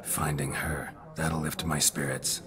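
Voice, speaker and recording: An actor playing a world-weary, terse monster hunter in a video game, with a gravelly, hushed growl and a dry deadpan delivery. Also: male voice, a bass voice, slow. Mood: terse, impatient. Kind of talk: video game character dialogue. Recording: crowd chatter behind the voice.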